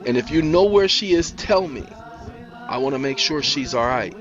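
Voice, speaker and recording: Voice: medium pitch, lively intonation, normal speed, highs cut off, pitched above the performer's own voice, close to an everyday voice. A man voicing a worried young man pressing for answers.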